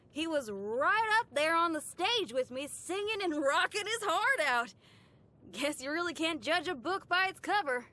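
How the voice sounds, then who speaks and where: A woman voicing a spirited, brash but good-hearted young female rock musician in a video game, chatty and folksy in her expressive delivery. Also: a little high. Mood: amused storytelling. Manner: folksy, colloquial, chatty and enthusiastic. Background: background music.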